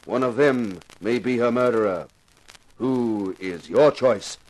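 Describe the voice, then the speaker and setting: An actor playing a wisecracking, persuasive TV detective star turned amateur sleuth in a 1950s radio detective drama. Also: male voice, medium pitch, slow pace, a little mellow, rough recording. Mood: excited, persuasive. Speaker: lead actor and narrator. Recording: vintage 1950s radio recording.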